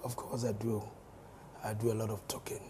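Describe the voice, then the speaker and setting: Hoarse Ghanaian man, dark voice. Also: lowish voice, little pitch movement, a little hiss or hum. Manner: measured, repeats words, explains at length. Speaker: member of parliament. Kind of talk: studio interview. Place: television studio.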